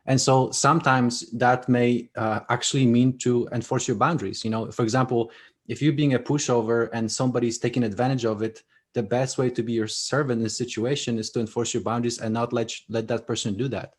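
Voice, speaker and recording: A man's voice: medium pitch, flat delivery, a little fast. A man whose first language is Polish, a guest author talking casually in an interview, often restarting and repeating words. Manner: hesitant, repeats words, many fillers.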